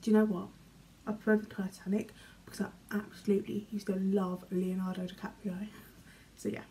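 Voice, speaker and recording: Young British woman for a casual bedroom vlog, warm and mellow, rambling, trailing off softly, faint room hum. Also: medium pitch, level tone, fairly quick. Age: young adult. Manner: chatty, filler-heavy, often trails off quietly. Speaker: vlogger. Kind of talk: casual chat, reading questions aloud. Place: small bedroom, indoors. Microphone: camera in front, medium framing.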